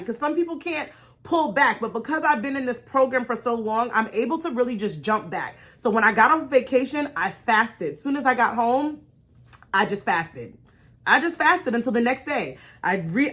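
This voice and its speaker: Bright, high-pitched American woman vlogger. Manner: animated, emphatic, conversational, encouraging. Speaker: vlog host. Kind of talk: personal storytime, candid casual chat.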